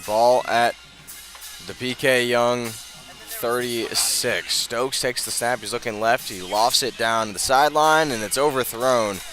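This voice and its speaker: A man's voice: medium pitch, takes it slowly, music in the background. An American man doing live radio play-by-play of a football game in short, clipped phrases. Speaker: play-by-play commentator.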